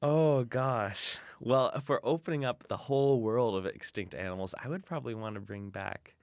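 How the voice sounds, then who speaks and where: A young man giving a casual interview as a guest, explaining things in a halting, thoughtful way. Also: mid-range voice, no echo. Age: young adult. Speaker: interview guest, student puppeteer.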